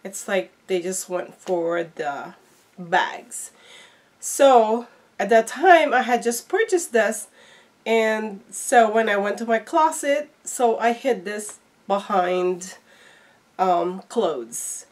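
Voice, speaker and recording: A woman's voice: mid-range voice, expressive, slow pace. A middle-aged woman, a little congested from flu, talking casually as a vlogger and showing things to viewers in an unboxing video. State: slightly congested, recovering from flu. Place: small indoor room at home.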